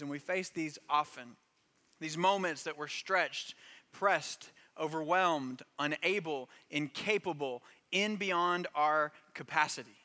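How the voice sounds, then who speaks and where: A pastor delivers a sermon with earnest emphasis, stacking phrases for weight. His voice is bright and forceful, a little higher than most men's. A long reverb trails him through a large hall.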